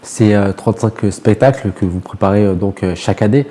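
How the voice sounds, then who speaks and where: A man's voice: on the low side, brisk, dark tone. A man interviewing a guest on the radio, putting questions to them.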